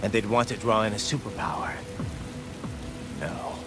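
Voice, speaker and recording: A man's voice: on the low side, normal speed, over music, close to an everyday voice. A man acting as a grave, determined cyborg soldier in a video game, speaking in terse, commanding lines. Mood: grave, resolute.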